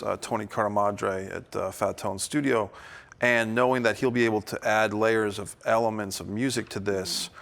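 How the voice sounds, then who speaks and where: A man's voice: on the low side, creaky, fairly bright tone. A man leading a casual table read discussion as director and host, encouraging as he talks through his notes.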